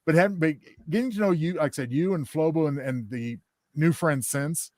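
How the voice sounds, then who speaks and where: A man co-hosting a podcast in casual chat, speaking earnestly in wordy, halting sentences. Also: a little high, a little mellow, dry recording, clean studio sound. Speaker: podcast co-host.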